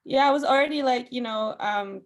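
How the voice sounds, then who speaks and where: A woman's voice: a little high, a little fast. A young woman singer from Austria whose first language is Farsi, chatting as a podcast guest and laughing often. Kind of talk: casual interview chat.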